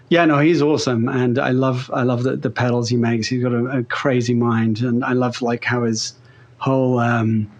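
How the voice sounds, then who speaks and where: A man with a mixed British and Australian-sounding accent, a guest talking casually in an interview, rambling with many fillers and restarts. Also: medium pitch. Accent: neutral British-Australian blend. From England. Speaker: guest pedal builder.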